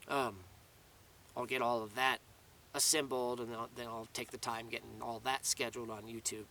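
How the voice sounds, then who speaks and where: A man's voice: mid-range voice, flat delivery, normal speed, sharp tone, far from the mic, a little hiss or hum. A man giving a casual, rambling video update outdoors in the mountains, restarting his sentences often. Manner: rambling, many restarts and fillers. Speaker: vlogger. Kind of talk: casual spoken update to camera.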